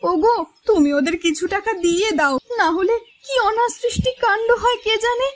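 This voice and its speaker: A woman's voice: extremely high pitch, animated, normal speed. A woman playing an anxious, beseeching village wife in a cartoon fairy tale, pleading urgently.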